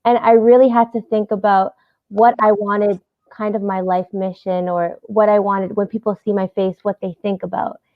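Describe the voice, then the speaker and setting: A woman's voice: mid-range voice, flat delivery, normal speed, no echo, narrow, tinny audio. A young woman, a pageant titleholder, answering interview questions in an earnest, casual way with plenty of fillers. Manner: earnest, many fillers, easy laughs.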